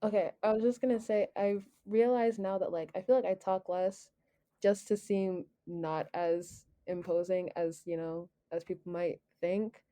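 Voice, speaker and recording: A woman's voice: medium pitch, steady tone, unhurried, dark tone. A young woman student speaking candidly on a podcast, self-deprecating and a little hesitant. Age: young adult. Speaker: student guest. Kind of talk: casual podcast discussion.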